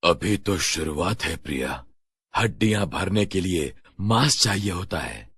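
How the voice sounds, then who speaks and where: A man as a low, husky possessing demon. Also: animated, unhurried, a bit bright, no echo, clean studio sound, full character voice. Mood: sinister gloating. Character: sinister hungry skeleton spirit possessing a young man.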